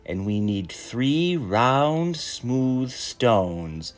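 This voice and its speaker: A man voicing a gentle, wise traveling monk in a storybook, with slow, deliberate, kindly speech. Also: mid-range voice, sing-song. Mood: calm, assured.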